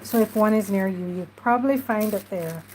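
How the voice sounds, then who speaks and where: A woman's voice: medium pitch, darkish tone, a little hiss or hum, rough recording. A woman with a stuffy nose, chatting casually through a craft tutorial while she works. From Jamaica. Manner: chatty, unhurried, talks while working. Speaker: craft journaling host.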